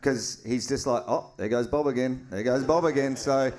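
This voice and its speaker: A man's voice: mid-range voice, normal speed, darkish tone. A man hosting a live podcast, chatty and teasing in slangy banter. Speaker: podcast host.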